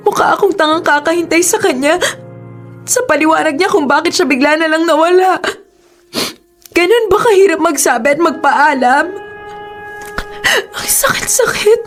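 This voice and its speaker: A woman's voice: very high voice, animated, moderate pace, long pauses, soft background music. A woman with a Filipino accent playing a heartbroken, pleading jilted girlfriend in a radio drama. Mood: heartbroken, tearful.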